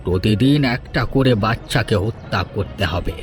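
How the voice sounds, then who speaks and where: A man playing a sinister, greedy holy man in a cartoon fairy tale, speaking in a slow, calculating, menacing way. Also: fairly low pitch, music in the background, natural voice. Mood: coldly scheming. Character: sinister, greedy male holy man who sacrifices children.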